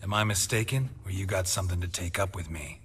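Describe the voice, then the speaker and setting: An actor playing a stoic, battle-worn monster hunter, terse and dryly witty, in a fantasy video game. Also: male voice, sits low, fast talker. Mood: dry, guarded. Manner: terse, deadpan. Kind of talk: dramatic video game dialogue.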